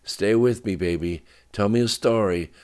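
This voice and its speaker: A man's voice: on the low side, normal speed, clear, resonant tone, voice pushed up, lightly acted. A man voicing a desperate, frightened man in an audiobook, urgent and pleading, often raising his voice. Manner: shouting, urgent, grunting through strain.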